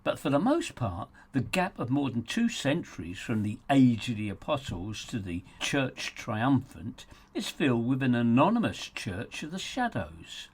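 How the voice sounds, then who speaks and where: A man reading his own audiobook in a theatrical, sardonic, emphatic storytelling manner, with a slightly husky voice. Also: medium pitch, huge pitch swings, a little slow, few pauses. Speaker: author narrating own audiobook. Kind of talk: scripted audiobook narration.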